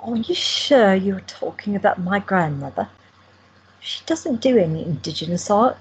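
A woman's voice: medium pitch, lively intonation, moderate pace, a little hiss or hum, lightly acted, rough recording. A woman playing a teasing, affectionate young woman in an audio drama. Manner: playful, teasing.

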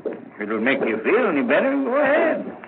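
An actor playing a folksy, easygoing but nosy village constable, casually probing in 1940s radio drama style. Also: male voice, high-pitched, wildly swooping pitch, normal speed, crowd noise. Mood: unruffled, curious. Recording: scratchy, narrow-band old radio recording.

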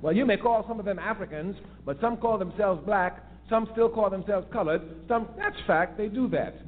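A man's voice: sits high, normal speed. A man giving an impassioned political talk on a call-in radio show, emphatic and repeating his key phrases for force. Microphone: phone line. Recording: tinny phone line with faint hiss.

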